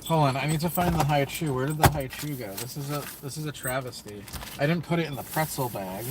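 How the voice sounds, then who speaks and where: A man's voice: a little high, fairly quick, very noisy. A young man livestreaming from inside a parked car at night, chatting in a rambling, scattered way to a phone camera held at arm's length. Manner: rambling, self-talking, scattered. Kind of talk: casual livestream chat.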